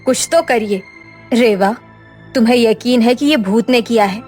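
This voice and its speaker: A worried village woman in a cartoon story, voiced by a woman, speaks in a somewhat high voice. She sounds earnest and lamenting, with faint music in the background. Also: unhurried, a little mellow, close to an everyday voice. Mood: worried, insistent.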